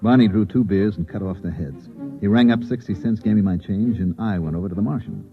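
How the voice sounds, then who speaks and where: An actor playing a wry, hard-drinking newspaper reporter with a dry, casual manner in an old-time radio drama. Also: male voice, on the low side, brisk, over music, natural voice. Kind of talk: old-time radio acting with first-person narration. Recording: dull old radio recording.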